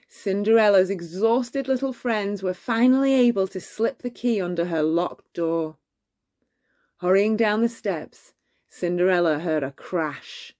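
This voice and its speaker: A woman narrating a children's bedtime story in a measured, expressive storytelling manner. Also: mid-range voice. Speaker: storybook reader.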